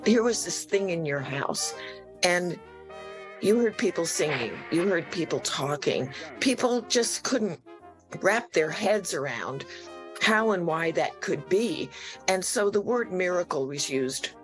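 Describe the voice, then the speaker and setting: A woman author being interviewed, talking in a lively storytelling way. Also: lowish voice, a little slow, over music. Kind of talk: interview explanation.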